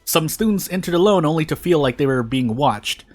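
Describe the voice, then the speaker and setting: A man reading a story aloud in deliberate phrases with short pauses. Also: a little high, lively intonation. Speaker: fan fiction reader on a video channel. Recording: music behind the voice.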